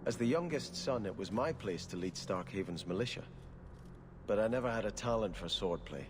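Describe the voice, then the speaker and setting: Game role: male actor as devout Scottish archer prince, low voice, measured and formal, noisy ambience behind. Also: animated, close to an everyday voice. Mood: solemn, reflective. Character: pious, earnest young exiled prince and archer. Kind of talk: dramatic video game dialogue.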